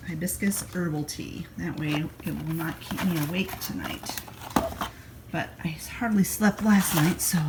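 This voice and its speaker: A woman's voice: fairly low pitch, creaky, very noisy. Congested woman chatting on a vlog. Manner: chatty and homey, talking straight to the camera. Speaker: vlogger. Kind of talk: casual food vlog narration. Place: at home in a recliner.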